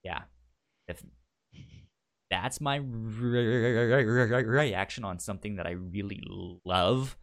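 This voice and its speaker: A man hosting a casual book discussion show, talking informally and haltingly with a stutter that repeats sounds and syllables. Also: fairly low pitch. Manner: halting, informal, thinking aloud. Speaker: podcast host.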